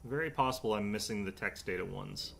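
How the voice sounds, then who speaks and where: A man's voice: fairly low pitch, a little fast, creaky, fairly bright tone, slight background noise. A man with a stuffed-up nose, casually talking to himself while playing a game as a streamer. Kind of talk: casual gameplay commentary.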